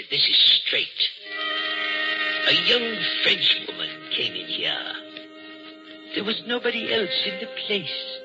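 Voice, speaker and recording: Dull old radio recording, nervous male museum attendant, high sharp voice, hesitant, deferential, ominous storyteller. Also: exaggerated voice. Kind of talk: old-time radio drama acting.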